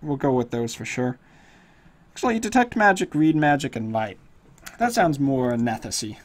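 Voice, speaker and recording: Chatty man thinking aloud, slightly hoarse. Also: medium pitch, sing-song, normal speed. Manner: rambling, thinking aloud with many fillers. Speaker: tutorial host. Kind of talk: casual screen-recorded game tutorial.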